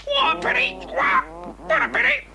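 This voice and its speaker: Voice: very high voice, animated, normal speed, creaky, music in the background, heavily acted. A performer voicing a mischievous talking pet parrot with a screechy squawk, in a children's audio drama. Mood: cheeky squawking. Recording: noisy old cassette recording.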